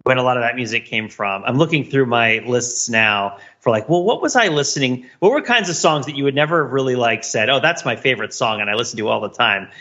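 Male podcast co-host, bright forceful tone, casual and musing, slightly lo-fi recording. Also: mid-range voice. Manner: musing, thinking aloud.

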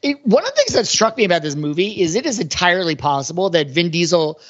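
A man's voice: sits high, sing-song, quick pace. A man co-hosting a casual podcast discussion about film, talking conversationally with lots of filler "like". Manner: conversational, peppered with "like". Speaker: podcast co-host. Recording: slightly muffled, lo-fi audio.

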